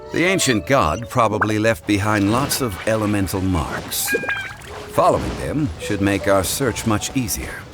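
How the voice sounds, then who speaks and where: An actor voicing a calm, learned gentleman in a game dub, speaking in a measured, formal way. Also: on the low side. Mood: calm, courteous. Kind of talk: scripted English game dub dialogue. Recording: dramatic music under the voice.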